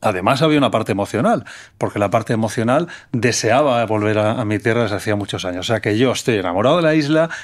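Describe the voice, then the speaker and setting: A man's voice: fairly low pitch, expressive, fast. A man from Menorca hosting a conversational interview, reflective and telling a personal story at length. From Menorca, Spain.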